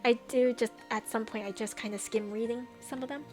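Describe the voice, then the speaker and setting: Woman streamer yapping to chat, kinda high voice, a bit tired, music playing soft behind. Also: steady tone, a little fast. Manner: chatty, laughs often, trails off. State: voice getting tired. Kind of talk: casual chat with viewers.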